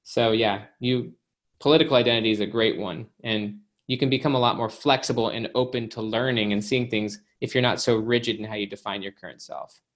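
A man's voice: lowish voice, steady tone, a little fast. A man appearing as an author guest, explaining ideas in casual conversation, often checking in with "right?". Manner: explanatory, uses fillers like "you know" and "right?".

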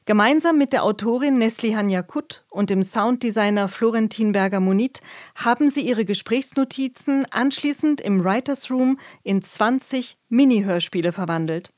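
A woman's voice: a little high, moderate pace, darkish tone. A woman hosting a podcast, reading a scripted introduction. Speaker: podcast host.

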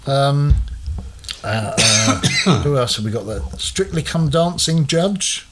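A man's voice: mid-range voice, expressive, takes it slowly, fairly bright tone, a little hiss or hum. A man hosting a casual chat, quizzing his co-host with lots of hesitant fillers.

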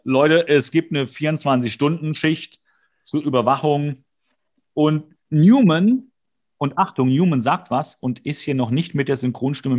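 A man with a slightly high voice co-hosts a podcast. He retells a show casually and comedically, dropping in wry asides and mimicking characters as he goes. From Hesse, Germany. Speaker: podcast co-host. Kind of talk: casual comedic episode recap.

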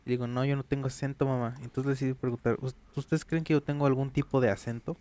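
A man's voice: medium pitch, level tone, quick pace, dark tone. Man with a Mexican accent, easygoing joking co-host in casual chat. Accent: Mexican Spanish. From Querétaro, Mexico.

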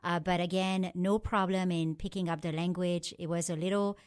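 Lowish-voiced woman recalling her childhood. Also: fairly even intonation. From Pakistan, raised in France. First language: Urdu. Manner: earnest, thoughtful, frequent uh and um pauses. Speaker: interview guest. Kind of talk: telling her life story.

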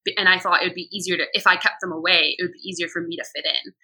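A young woman with an American accent and a low-set, very bright voice. It has a husky grain to it. She talks candidly and conversationally, telling anecdotes with animated quoted speech. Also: very fast. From United States. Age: young adult. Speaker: podcast guest.

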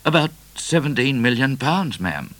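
A man's voice: mid-range voice, big pitch swings, a little slow, fairly bright tone, no room sound, voice pushed up. A man voicing an eager, polite young male adventurer of twenty in a storybook, breathless and earnest.

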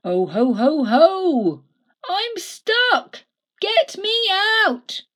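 A woman voicing a jolly, hearty old Santa in a storybook, booming and exclamatory. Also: very animated, unhurried.

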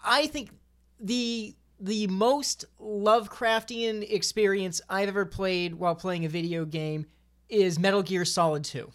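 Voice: medium pitch, measured pace. A speaker chatting casually about video games on a podcast in a rambling, stammering way, often repeating phrases.

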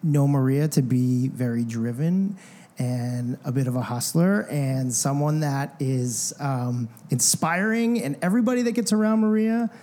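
A man co-hosting a podcast, friendly and easygoing as he brings in his guests, with plenty of "uh" and "um". His voice is dark and mellow, and his pitch sits in the middle. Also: a little slow. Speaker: podcast co-host. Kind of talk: casual podcast interview.

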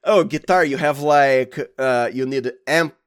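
A man with a Brazilian accent, a native Portuguese speaker, talking casually and haltingly as an interview guest. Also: medium pitch, clear, resonant tone. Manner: halting, informal, often says "like". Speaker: sound designer and podcast guest.

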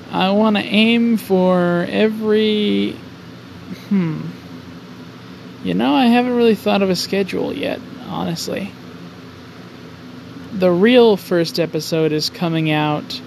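A young man talking slowly in a high, gravelly voice as he hosts his own podcast. He rambles, jokes at his own expense and swears freely. Faint highway traffic hums behind him in his garage. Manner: rambling, self-mocking, swears often. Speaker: podcast host. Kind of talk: casual solo podcast monologue. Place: home garage.

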